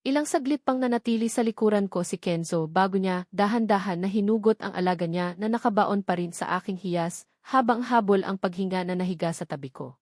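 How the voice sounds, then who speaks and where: A woman narrates a romance novel in the first person, reading from a script. Her voice sits at an average pitch. The sound is dry, with no room echo. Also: brisk, darkish tone. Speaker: audiobook narrator.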